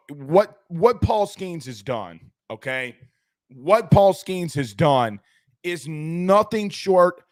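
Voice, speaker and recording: A hoarse male host, rowdily chatting after a game and reading viewer messages aloud. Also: fairly high pitch, dry recording, studio quality. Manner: rowdy, hyped, repetitive. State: losing his voice. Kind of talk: excited postgame sports chat reading super chats.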